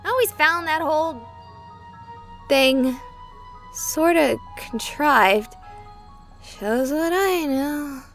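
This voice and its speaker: A woman's voice: high-pitched, very slow, pauses often, a bit bright, soft background music, heavily acted. Sarcastic young streamer girl. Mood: skeptical. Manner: sarcastic, flustered. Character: young woman streamer, skeptical and rattled. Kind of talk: visual novel voice acting.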